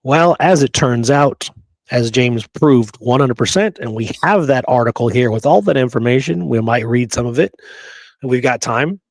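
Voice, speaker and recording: A man co-hosts a history talk show in a conversational, debunking style. His pitch is average and the sound is dry. He stresses key phrases by stretching them out and often puts rhetorical questions to his listener. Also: normal speed, dry recording. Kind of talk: conversational debunking discussion of an old photograph.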